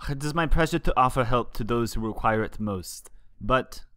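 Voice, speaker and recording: A regal dark alicorn princess, voiced by a man for a storybook reading. The delivery is formal and gracious, with stately old-fashioned phrasing. The sound is dry and free of room echo. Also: mid-range voice, normal speed, close to an everyday voice. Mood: kindly. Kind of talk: fan fiction audiobook character voice.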